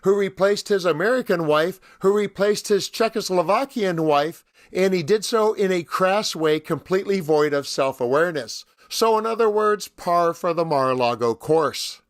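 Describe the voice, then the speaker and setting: High-pitched man, wry political commentary. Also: moderate pace, dry recording, clean studio sound. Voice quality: somewhat rough voice, as the speaker says. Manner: wry, mocking. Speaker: commentary host. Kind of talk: sarcastic scripted political commentary.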